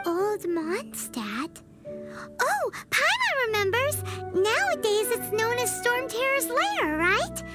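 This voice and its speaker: A tiny childlike fairy girl for a video game, excitable and quick to get impatient. The voice is squeaky and extremely high, delivered at an unhurried, drawn-out pace. Loud music runs underneath. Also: full character voice. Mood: curious, eager. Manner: excitable, refers to self by name. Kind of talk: cartoonish video game character acting.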